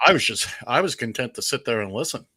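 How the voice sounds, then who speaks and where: A bright, forceful man hosting a radio show, playful and teasing in his banter. He slips into a theatrical announcer delivery for a weekly segment. His voice is dry and close, with no room sound. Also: medium pitch, lively intonation, fast, breathy edge, dry recording.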